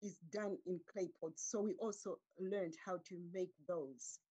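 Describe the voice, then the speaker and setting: Casting: middle-aged woman from Zimbabwe, husky, measured, unhurried guest on a webcam call. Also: on the low side, poor audio. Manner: calm, measured, with frequent um pauses. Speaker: guest artist. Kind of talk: conversational interview answers. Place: home room with curtains. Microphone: laptop webcam on a video call.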